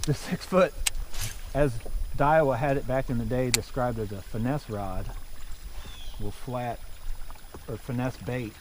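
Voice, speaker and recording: A man in his forties hosting a fishing video from a boat on a river, chatting casually and breaking into loud bursts of excitement. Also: mid-range voice, unhurried, long pauses. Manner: excitable, loud bursts when fish hit. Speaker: fishing channel host. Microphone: action camera on the boat. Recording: outdoor camera audio with water noise.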